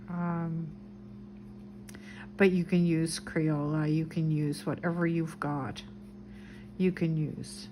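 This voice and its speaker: A woman with a light Dutch accent, calmly talking through each step as she draws and colors a mandala. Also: lowish voice, slight background noise. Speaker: craft video host. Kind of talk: relaxed craft tutorial narration.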